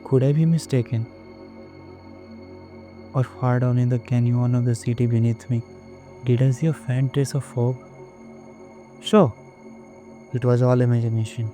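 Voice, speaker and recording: An actor playing an uneasy, watchful night manager in an audio drama, with measured, brooding delivery. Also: male voice, medium pitch, steady tone, dark tone, faint music behind, natural voice. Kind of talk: audio drama narration and dialogue.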